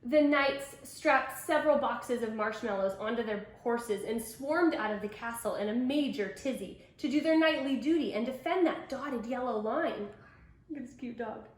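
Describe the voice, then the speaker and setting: A woman's voice: a little high, moderate pace. A woman reading a picture book aloud to children, telling it expressively and with emphasis. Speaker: storybook reader. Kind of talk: children's picture book read-aloud with asides to young listeners. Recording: echoey room.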